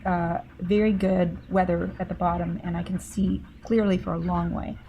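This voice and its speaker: A woman's voice: lowish voice, little pitch movement, normal speed, warm tone, a little hiss or hum. Hesitant woman at a tabletop game. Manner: brief, hesitant answers. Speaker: player. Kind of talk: casual tabletop play, with some in-character lines.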